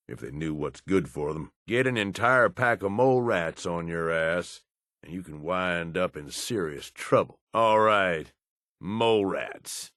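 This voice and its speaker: An actor playing a gruff, cynical middle-aged raider in a video game, with an American accent and a blunt, folksy, profane way of talking. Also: male voice, sits low, a bit bright, dry recording, clean studio sound, full character voice. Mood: disgusted, wry. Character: gruff, cynical middle-aged raider, plain-spoken and loyal to his boss. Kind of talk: video game companion dialogue.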